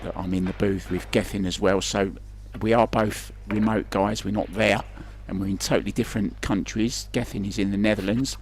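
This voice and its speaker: A man's voice: fairly low pitch, fairly even intonation, normal speed, hardly any pauses, a little hiss or hum. A man doing chatty, self-deprecating live pool commentary in a conversational way. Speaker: sports commentator. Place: remote commentary from his own location.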